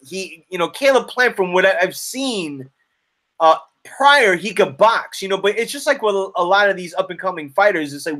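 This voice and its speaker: A man's voice: sits high, bright, forceful tone. A man hosting a casual sports discussion in a conversational, colloquial way. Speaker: boxing show host.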